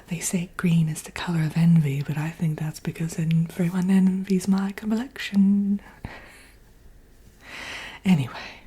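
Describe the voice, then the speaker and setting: A woman voicing a vain, sly wicked witch in a breathy, whispered ASMR roleplay, chatting coyly as if with an old friend. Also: on the low side, warm tone, heavily acted. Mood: smug, conspiratorial. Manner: theatrical, coyly boastful, doting, slow and murmuring.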